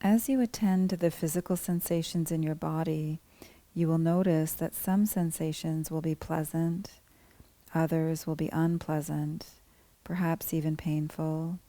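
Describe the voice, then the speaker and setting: A woman leads a guided meditation in a mellow, soft-edged voice. Her delivery is very slow and even, with calm, measured phrases and pauses between them. The sound is dry and close, free of room reflections. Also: deep voice, no room sound. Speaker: meditation teacher guiding a body scan. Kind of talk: guided meditation, scripted instruction.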